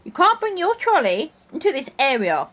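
An actress playing a formal, firm supermarket worker enforcing the rules. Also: female voice, high-pitched, wildly swooping pitch, normal speed, fairly bright tone, heavily acted. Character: prim officious female supermarket checkout worker.

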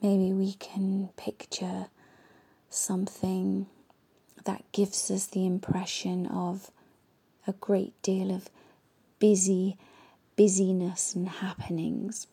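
A woman leads a guided meditation in a soft, half-whispered voice, unhurried and soothing. Her tone is warm and mellow, with a gravelly, breathy texture. She keeps her pitch level and even as she talks the listener through each step. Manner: gentle, slow and soothing instructions.

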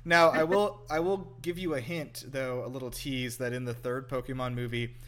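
A man's voice: mid-range voice, fairly even intonation, normal speed, a little hiss or hum. A man hosting a casual film reaction chat with wry, teasing banter. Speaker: podcast host.

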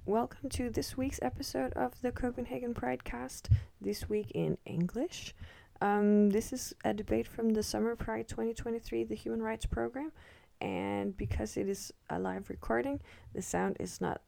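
A woman's voice: a little high, steady tone, normal speed, gravelly, dark tone, dry recording. A woman hosting a podcast, introducing the episode plainly. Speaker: podcast host.

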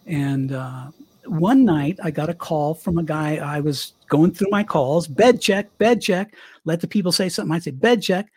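An older man, a former radio DJ, telling stories in a chatty, animated way with a young-sounding voice. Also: a little high, moderate pace, breathy edge, dry recording. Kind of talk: casual storytelling interview.

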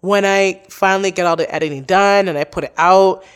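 A woman's voice: mid-range voice, fairly quick, smooth. A young adult woman, a podcast host being interviewed, talking casually and openly with lots of "like" and "you know.". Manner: chatty, many "like" and "you know" fillers.

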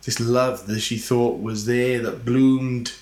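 A man with a cold-roughened voice, co-hosting a casual podcast and retelling a film's plot with swearing and acted-out lines. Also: mid-range voice, fairly even intonation, slow, darkish tone, echoey room. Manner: loose retelling, sweary, acts out bits of dialogue. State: hoarse from a cold. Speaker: podcast co-host. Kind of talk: casual film recap chat.

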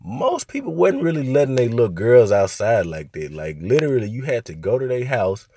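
A young man from Arkansas hosting a casual solo podcast, talking in a laid-back, rambling way with lots of fillers, his voice a little hoarse from a cold. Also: medium pitch, very animated, brisk, warm tone, a little hiss or hum. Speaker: podcast host.

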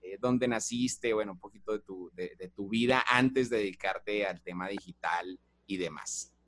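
A man hosting an interview podcast, greeting listeners warmly and asking questions with small fillers. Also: on the low side, normal speed, no echo. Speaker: podcast host.

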